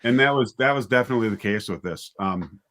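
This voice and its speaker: A man with a lowish voice speaks as a guest in a casual interview. He is a director who tells stories conversationally, often acting out bits of what was said. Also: fast talker, fairly bright tone. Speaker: guest, audio drama writer and director.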